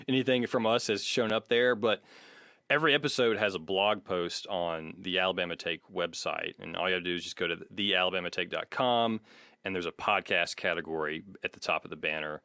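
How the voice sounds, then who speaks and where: A man with a fairly low voice and a crisp, bright edge co-hosts a casual podcast. He explains things informally and ramblingly, with a self-deprecating streak. The sound is close and very dry. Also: no room sound. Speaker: podcast co-host.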